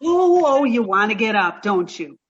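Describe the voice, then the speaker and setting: A woman's voice: a little high, big pitch swings, normal speed, muffled recording. A woman playing a dim-witted, sweet, scatterbrained young woman in a comic old-time radio play, gushing and literal-minded. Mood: earnest, fussing. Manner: naive, scatterbrained, gushing, takes everything literally.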